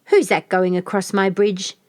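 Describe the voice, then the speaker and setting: A woman voicing a great, bad-tempered male troll in a storybook, with a loud, blustering shout. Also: mid-range voice, level tone, a little slow, no room sound, studio quality, close to an everyday voice. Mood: menacing. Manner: menacing, blustering. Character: great terrible grey male troll, bellowing bully.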